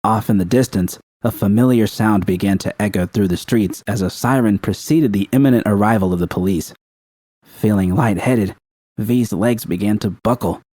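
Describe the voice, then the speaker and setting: A man's voice: lowish voice, dark tone, no room sound. A man reading scripted fiction as an audiobook narrator.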